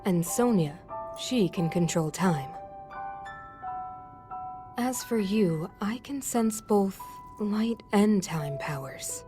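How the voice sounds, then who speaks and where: Composed, scholarly otherworldly sage. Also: female voice, medium pitch, expressive. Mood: explaining, calm. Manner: precise, explanatory, unhurried. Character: composed, scholarly elder sister of an otherworldly king. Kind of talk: video game cutscene acting. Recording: music behind the voice.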